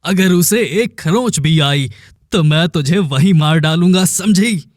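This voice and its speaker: A man's voice: a little high, no echo, lightly acted. A man playing an earnest, determined young villager in a cartoon story, a devoted brother speaking in dramatic vows. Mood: defiant, vowing. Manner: dramatic, vowing, deliberate.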